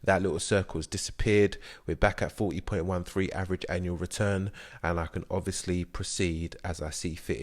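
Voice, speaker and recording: A young man with a very low, deep voice talks viewers through an investing app screen by screen. He is chatty and explanatory, with frequent fillers. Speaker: investing channel host. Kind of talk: screen-share app walkthrough.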